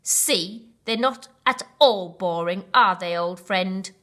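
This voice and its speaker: A woman's voice: medium pitch, slow, a bit bright. A woman voicing a cheerful, helpful baboon in a children's storybook, speaking in an eager, encouraging way. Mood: pleased. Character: cheerful, helpful baboon, a loyal old friend.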